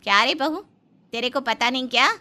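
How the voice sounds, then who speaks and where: A woman voicing a bossy, sharp-tongued older housemaid who scolds and gives orders like a mother-in-law in a story. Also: high-pitched, brisk. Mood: nagging. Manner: commanding, scolding.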